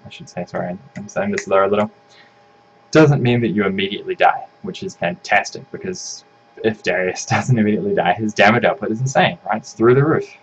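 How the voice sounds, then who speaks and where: Croaky low-voiced man analyzing a game. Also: lo-fi sound, slight background noise. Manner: rambling, self-correcting, frequent ums and "right?". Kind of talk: casual solo game analysis.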